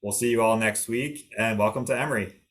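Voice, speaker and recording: A man's voice: lowish voice, a little fast, a bit bright. A man hosting the session, closing it with polite, repeated thanks on a video call. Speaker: admissions host. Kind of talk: closing remarks.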